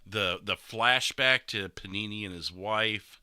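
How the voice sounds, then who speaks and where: A man's voice: lowish voice, unhurried, pushed and bright, clear, resonant tone, dry recording, studio quality. A man hosting a casual livestream podcast, chatting in a rambling way and reading out viewer comments. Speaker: podcast host and streamer.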